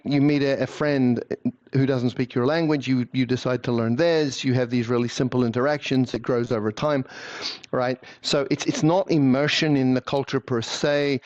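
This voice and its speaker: A man of middle pitch gives long, explanatory interview answers. He often stumbles over repeated words before he finds his sentence. The recording sounds slightly dull and muffled. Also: a little mellow. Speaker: guest, language-learning speaker.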